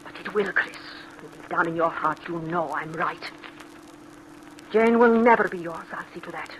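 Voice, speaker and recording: An actress playing a possessive, jealous wife in an intense, theatrical old-time radio drama style. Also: female voice, lowish voice, moderate pace, background noise, natural voice. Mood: coldly menacing.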